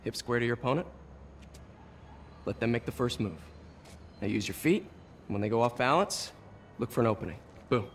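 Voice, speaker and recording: An American actor voicing a friendly, encouraging young superhero mentor in a video game, with a casual coaching manner. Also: male voice, mid-range voice, fairly quick, pauses often, slight background noise, natural voice.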